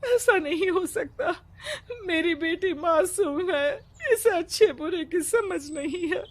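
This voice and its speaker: A woman's voice: very high voice, slow pace, no echo, pitched above the performer's own voice. A woman voicing a distraught village mother in a cartoon story, begging through tears. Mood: pleading. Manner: crying, begging.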